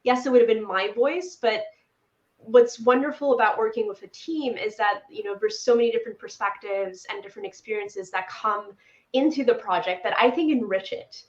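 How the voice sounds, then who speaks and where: A woman in her thirties, born in Poland, talks about her work with enthusiasm as an interview guest. Her voice sits a little high and comes through a video call. The room sounds echoey and the audio is somewhat dull. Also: moderate pace. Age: 30s. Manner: enthusiastic, upbeat. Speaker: animator guest. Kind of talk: casual interview chat.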